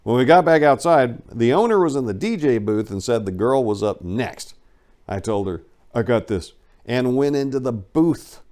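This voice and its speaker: A man giving a dramatic first-person reading of a memoir, telling it in a wry, animated storyteller's way. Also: medium pitch, normal speed. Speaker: audiobook narrator.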